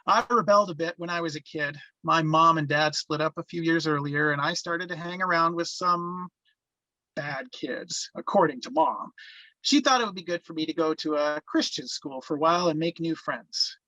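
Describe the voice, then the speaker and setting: A male actor voices a grown man narrating memories of his small-town youth in a screenplay. The voice is forward and bright, on the higher side, recorded very dry. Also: normal speed, no echo, highs cut off. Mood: reflective. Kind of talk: scripted first-person reminiscence.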